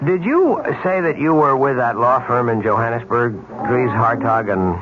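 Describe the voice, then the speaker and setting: A man's voice: medium pitch, expressive, moderate pace, crowd noise, lightly acted. An actor playing a frank, puzzled American family man in his forties, with a hesitant, folksy manner in old-time radio style. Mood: affable, curious. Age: 40s. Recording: dull, faintly noisy old radio recording.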